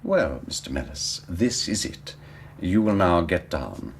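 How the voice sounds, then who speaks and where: A coldly polite henchman in a radio play. The voice is deep, with wide swings of pitch, and formally threatening, over faint background ambience. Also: sing-song, measured pace, creaky, a little hiss or hum, full character voice. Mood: cold menace. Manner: formal, clipped, coolly menacing. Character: cold, courteous henchman issuing veiled threats. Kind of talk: radio drama acting.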